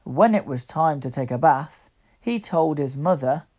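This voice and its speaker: Woman, children's story reader, unhurried pace, slightly low pitch, soft-edged mellow tone, expressive phrasing. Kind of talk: storybook read-aloud for young children.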